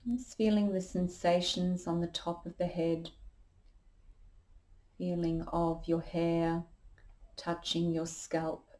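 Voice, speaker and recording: A woman leading a guided meditation as a yoga teacher, calm and unhurried, giving gentle instructions. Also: lowish voice, low-quality recording.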